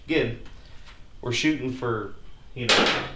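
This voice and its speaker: A man's voice: mid-range voice, slow. Echoey, folksy man working in a garage. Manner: wry, self-deprecating, folksy. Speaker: DIY car repair video host. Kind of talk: casual hands-on how-to narration. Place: garage workshop. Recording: echoey, distant mic, clearly noisy.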